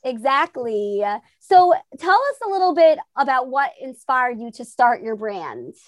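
A woman's voice: high-pitched, big pitch swings, a little slow, dry recording, clean studio sound. A woman hosting a podcast interview, enthusiastic and effusive, peppering her questions with "like" and "I mean". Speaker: podcast host.

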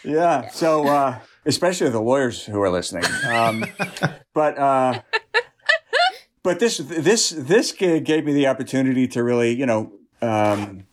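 Middle-aged man, union executive as interview guest, mid-pitched voice, thoughtful and wry. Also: lively intonation, a little slow, noisy background. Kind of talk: conversational interview.

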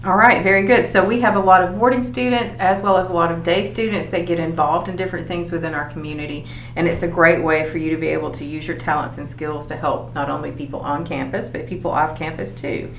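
Teacher lady w a lowish voice running a student chat, echoey room. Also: steady tone, fast talker, few pauses. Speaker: teacher hosting school podcast. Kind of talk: moderating a student panel. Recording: echoey room, faint hum.

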